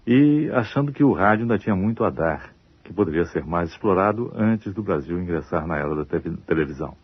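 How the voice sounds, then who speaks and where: A man with a Brazilian accent giving measured, expository historical commentary as a broadcaster. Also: deep voice, lively intonation, slightly rough, no echo. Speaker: radio researcher and broadcaster. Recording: dull, dry old radio recording.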